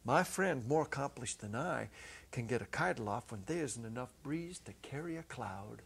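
Husky middle-aged man reading a story dramatically. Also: medium pitch, lively intonation. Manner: theatrical, varying from hushed to emphatic, with deliberate pauses. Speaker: narrator, reader. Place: indoor stage set, seated at a music stand. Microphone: clip-on lapel mic.

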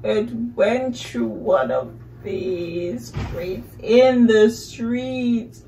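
A woman's voice: a little high, lively intonation, drawn-out words, vocal fry, warm tone, slight background noise, low-quality recording. A middle-aged woman telling a picture-book story to young children, expressively, with exclamations and questions. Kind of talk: children's picture book read-aloud, improvised narration with questions. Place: small indoor living room, seated on a couch.

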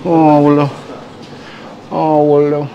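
A man's voice: a little high, dull audio, a crowd in the background. A middle-aged man reporting from inside a delicatessen, joking and teasing as he questions the staff. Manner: jokey, teasing, animated. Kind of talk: lively walk-through interview in a shop. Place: small delicatessen shop indoors.

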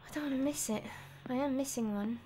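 High-voiced woman gaming. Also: fast talker, background noise. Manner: musing aloud to herself. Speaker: game streamer and voice actress. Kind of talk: casual gameplay commentary. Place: indoor room, seated at a desk. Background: game music.

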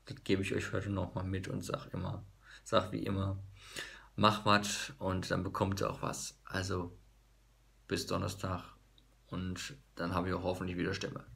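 A man in his thirties, hoarse, vlogging casually to a phone camera in a small room, halting with many fillers. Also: low voice, completely flat delivery, sharp tone. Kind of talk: casual vlog talk to camera. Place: small bedroom office indoors. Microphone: phone camera at arm's length.

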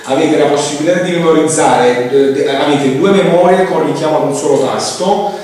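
A man's voice: on the high side, normal speed, a little mellow. Echoey, distant male product demonstrator. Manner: hesitant, repetitive explaining with frequent verbal tics. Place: indoor room, demonstrating at a tabletop. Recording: echoey room, distant mic, faint noise.

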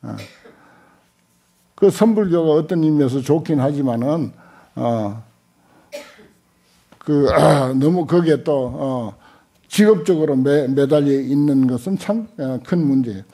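An older man giving a Buddhist scripture lecture, halting often with small interjections and repeating points for emphasis. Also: fairly high pitch, expressive, mellow. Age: older, 70s or above. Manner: unhurried, halting with frequent interjections, emphatic repetition. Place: indoor lecture hall with seated audience. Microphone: desk stand microphones.

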